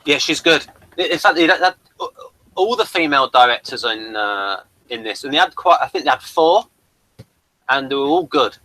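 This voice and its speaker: A man's voice: on the high side, lively intonation, normal speed, sharp tone, no echo, rough recording. A man hosting a review show in casual, unscripted chat, rambling and stop-start as he thinks aloud.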